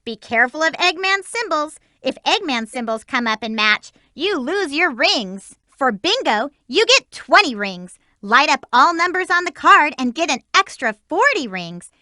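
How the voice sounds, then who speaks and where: A super high bright cartoony hedgehog girl, bossy and eager, bouncy delivery, dry studio sound. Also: very animated, moderate pace, few pauses, no echo, full character voice. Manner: upbeat, instructive team leader. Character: peppy young female hedgehog heroine, bossy and eager. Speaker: video game character. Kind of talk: game voice clips.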